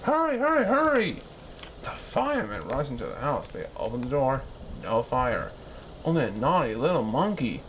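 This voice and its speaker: A man reading a children's story aloud in an expressive, animated style with dramatic pauses. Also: medium pitch, slight background noise, rough recording.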